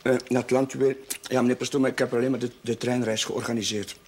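A man's voice: mid-range voice, level tone, fast talker, darkish tone, natural voice. Nervous, stammering young chaplain, played by a man. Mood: deferential, defensive. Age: young adult. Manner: hesitant, stammering, apologetic. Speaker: actor in a television drama series. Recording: faint background noise, old television audio.

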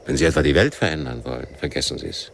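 Radio crime drama: world-weary militant captain, deep register, cool interrogator, slightly noisy recording. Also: male voice. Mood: weary, cynical. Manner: measured interrogation with abrupt probing questions. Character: world-weary, shrewd Palestinian guerrilla captain, coolly probing.

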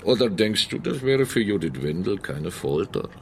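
An actor playing a cynical gangster blackmailer in a German radio drama, taunting and smugly sarcastic with drawn-out menace. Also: male voice, on the low side, big pitch swings, darkish tone, a little hiss or hum. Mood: mocking, sadistic.

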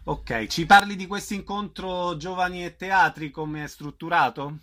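Man, radio host, slightly high-pitched voice, informal interview questions, hesitant phrasing. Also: steady tone, normal speed, pushed and bright. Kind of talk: live interview.

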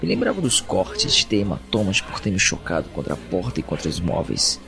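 A man with a Brazilian Portuguese accent playing an anguished captive in an audio drama, narrating his imprisonment in a brooding, deliberate monologue. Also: low-pitched, wildly swooping pitch, gravelly, full character voice. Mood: haunted, subdued. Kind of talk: dramatic first-person monologue. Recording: music bed under the voice.

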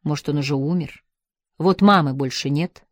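A woman narrator voicing a young man who quietly presses an older woman about his absent father. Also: low voice, moderate pace, no echo, clean studio sound, voice pushed down. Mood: probing. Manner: subdued, questioning, hurt.

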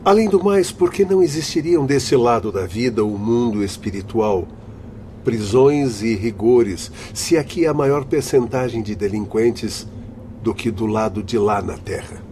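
A man's voice: mid-range voice, animated, a little slow, warm tone, a little hiss or hum, natural voice. A man acting in a radio drama as a stern, learned spirit guide who lectures in formal, emphatic oratory.

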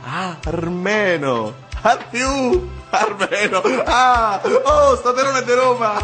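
An actor playing a mocking teenage bully from the rough outskirts in radio theatre, taunting and jeering. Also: male voice, high voice, lively intonation, bright, forceful tone, faint music behind, full character voice. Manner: taunting, jeering, drawn-out repeats.

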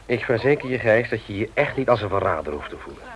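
An actor playing an earnest, courteous company secretary in a radio play, discreet and reassuring. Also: male voice, on the low side, lively intonation, fast, close to an everyday voice. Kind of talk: Dutch radio play acting. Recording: muffled vintage radio drama recording.

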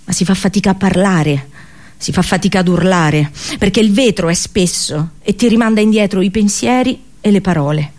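A woman actor delivering a theatrical radio drama monologue, dramatic and halting, with deliberate pauses and repetitions. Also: fairly low pitch, darkish tone. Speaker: actress performing a monologue.

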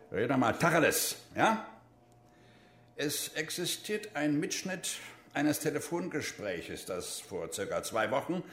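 A stern, disappointed army major of middle age in a German radio play. His voice is gravelly and swings widely in pitch, and he presses with paternal menace and short probing questions. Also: fairly bright tone. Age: middle-aged. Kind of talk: German radio crime drama acting.

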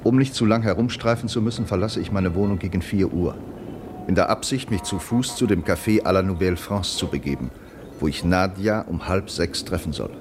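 A man's voice: low-pitched, a little fast, background noise, natural voice. An actor playing a sober literary man narrating his diary in a radio drama. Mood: sober, reflective.

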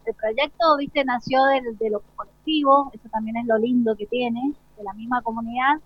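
A woman's voice: on the high side, steady tone, normal speed. A woman with an Argentine accent speaking spontaneously as an interviewed school director. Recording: dull, lo-fi live broadcast sound.

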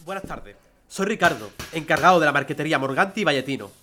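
A man's voice: a little high, expressive, quick pace, sharp tone, a little hiss or hum. A man playing a confident, teasing workshop manager in an audio drama, with a Spanish accent from Spain. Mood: mock stern. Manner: teasing, self-assured. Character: cheeky, confident male framing workshop manager.

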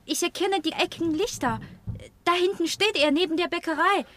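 A woman's voice: very high-pitched, a little fast, fairly bright tone, a little hiss or hum. An actress playing an uneasy, watchful young woman in a naturalistic radio crime drama. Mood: alarmed. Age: young adult.

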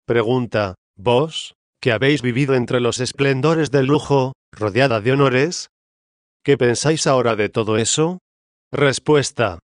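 Un hombre que lee con tono formal y pausado las preguntas de un libro espiritista. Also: male voice, medium pitch, no echo, studio quality. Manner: measured, formal. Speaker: audiobook narrator. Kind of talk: scripted reading of a spiritist book.

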